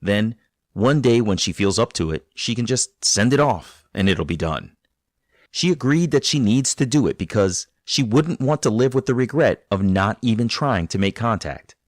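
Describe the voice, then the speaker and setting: A man hosting a podcast, narrating a guest's story and interviewing her in a calm, measured, encouraging way. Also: fairly low pitch, dry recording, clean studio sound. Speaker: podcast host.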